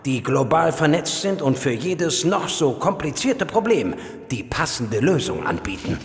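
An actor playing a formal, deliberate, secretive military colonel in an audio drama. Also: male voice, a little high, big pitch swings. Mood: formal briefing. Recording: echoey room, long reverb.

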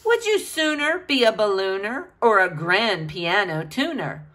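A woman's voice: mid-range voice, very animated, a little slow, fairly bright tone. A woman reading a picture book aloud to children, with a sing-song, questioning delivery.

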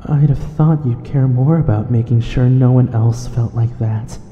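A man playing an exhausted, haunted dead young man in an audio drama, speaking slowly and wearily. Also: mid-range voice, lightly acted. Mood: reproachful. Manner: weary, slow, haunted. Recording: muffled echoing hall, noisy ambience.

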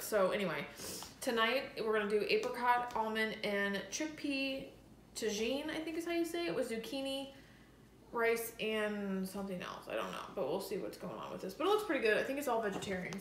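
A woman's voice: mid-range voice, a bit bright. A woman vlogging casually from her home kitchen, chatty and enthusiastic, with plenty of "like" fillers. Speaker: lifestyle vlogger. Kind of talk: casual chatty vlog talk to camera. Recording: echoey room with faint noise.

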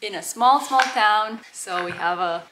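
A woman's voice: mid-range voice, a little slow, heavy background noise. A woman in her thirties from Romania, a native Romanian speaker, casually narrating a family vlog from her home. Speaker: vlogger.

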